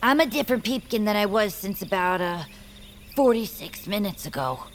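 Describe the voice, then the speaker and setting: A woman's voice: medium pitch, lively intonation, moderate pace, slight background noise, lightly acted. A woman voicing a young, mopey woodland creature in a family audio drama, with a dry, skeptical, hesitant manner. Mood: wry, doubtful. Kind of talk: family audio fiction character acting.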